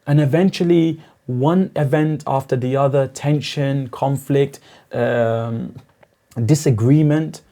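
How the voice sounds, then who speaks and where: A man's voice: medium pitch, takes it slowly, mellow. A young man from East Africa, a podcast guest telling his spiritual journey in an earnest, searching way. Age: young adult. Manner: earnest, searching, builds to intensity. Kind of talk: reflective personal story.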